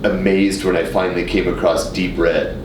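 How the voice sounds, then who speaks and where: A middle-aged man from Oklahoma talks films in a loose, enthusiastic way. His voice is on the low side, picked up from a little distance in an echoey studio. He circles and repeats words as he builds his point. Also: flat delivery, moderate pace. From Oklahoma, United States. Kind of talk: casual film chat. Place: indoor radio studio. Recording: echoey studio, off-mic, faint rumble.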